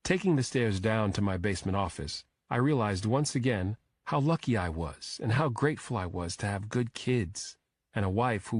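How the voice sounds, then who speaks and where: A man narrates a first-person thriller audiobook in a low-pitched voice. The sound is dry and close, with no room around it. He reads scripted prose as a storyteller. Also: no echo.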